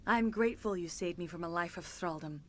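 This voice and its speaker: An actress playing a centuries-old alien disciple in a video game, speaking formally with grave, measured phrasing. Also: female voice, on the low side, a bit bright, natural voice. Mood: awed, mournful. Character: centuries-old female alien disciple, solemn, remorseful and serene. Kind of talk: video game dramatic acting.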